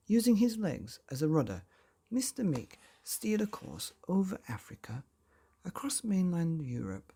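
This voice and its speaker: A man hosting and narrating a children's bedtime story in a dramatic storyteller's delivery with long pauses. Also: on the high side, creaky, mellow, slightly rough. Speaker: bedtime story podcast host and reader.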